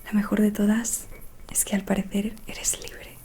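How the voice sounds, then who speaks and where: A woman whispering in a breathy, soothing, hushed way, playing a calm, mysterious witch fortune teller in an ASMR roleplay. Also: mid-range voice. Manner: slow, hushed, soothing delivery. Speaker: ASMR performer.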